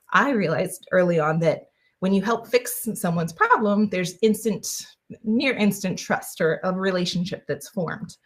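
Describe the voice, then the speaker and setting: A woman guest on an interview podcast, answering in a chatty way with many fillers and restarts. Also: on the low side. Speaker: guest, engineer.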